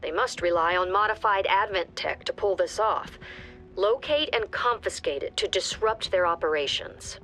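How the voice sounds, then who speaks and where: Authoritative female commander for game briefings, level and crisp, music bed — actress. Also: medium pitch, expressive, moderate pace, a bit bright, natural voice. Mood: commanding. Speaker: mission commander giving briefings. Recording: game audio with clear music behind.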